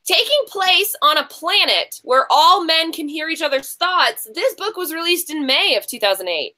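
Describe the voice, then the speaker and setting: A woman's voice: extremely high pitch, expressive, normal speed, sharp tone. A woman hosting a casual online book quiz in a chatty, encouraging way. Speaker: quiz show host.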